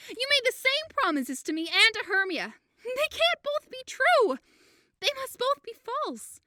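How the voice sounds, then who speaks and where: A woman's voice: very high voice, bright, forceful tone, no room sound, clean studio sound, exaggerated voice. A woman playing a hurt, indignant young woman in a modern-English Shakespeare audio drama, impassioned, accusing and sarcastic. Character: hurt, indignant young woman convinced she is being mocked.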